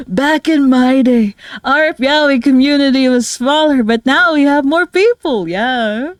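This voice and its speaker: A woman's voice: high-pitched, dry recording. Giggly rambling woman streamer, dry sound. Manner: rambling, giggly, filler-heavy. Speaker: streamer and artist. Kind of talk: casual livestream chat.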